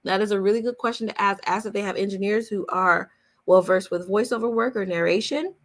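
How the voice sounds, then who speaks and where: A woman with a medium-pitched voice and plenty of gravelly creak. She talks as a coach in a casual chat, answering questions point by point. Key advice gets stressed and repeated. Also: brisk. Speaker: voice-over coach hosting a live Q&A.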